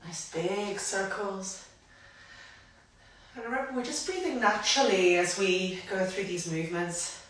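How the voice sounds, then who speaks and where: A woman guiding a grounding movement practice, gentle and invitational, her voice a little croaky from hay fever. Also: medium pitch, far from the mic. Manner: gentle, invitational, unhurried guidance. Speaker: somatic practice guide. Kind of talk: guided movement instruction. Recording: roomy echo, voice from afar.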